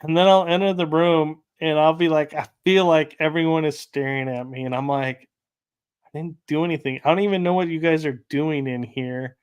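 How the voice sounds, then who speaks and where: A man's voice: fairly high pitch, fairly even intonation, normal speed, smooth, dull audio. A man hosting a casual interview, telling rambling anecdotes with light laughs. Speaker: podcast host.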